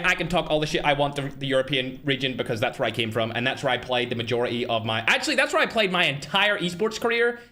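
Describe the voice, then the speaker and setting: A man's voice: fairly high pitch, sharp tone. A man hosting a live stream, chatting casually and brashly straight to his viewers with frequent asides. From Northern Ireland. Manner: brash, fast-flowing, talks straight to chat, trash-talking. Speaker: livestream host and tournament organizer.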